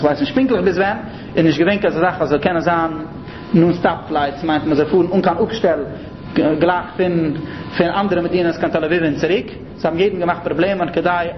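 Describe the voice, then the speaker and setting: A man with a Yiddish accent giving an explanatory lecture in a didactic way, repeating and rephrasing his points. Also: fairly high pitch. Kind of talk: explanatory religious-political lecture. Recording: muffled, noisy, echoey room recording.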